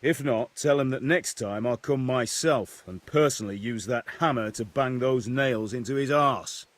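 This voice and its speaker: A man's voice: mid-range voice, moderate pace, dry recording, lightly acted. An actor playing a blunt, teasing middle-aged village blacksmith who orders his son about, in a game cutscene. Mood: gruff teasing. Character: gruff, joking middle-aged blacksmith father. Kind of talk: video game cutscene acting.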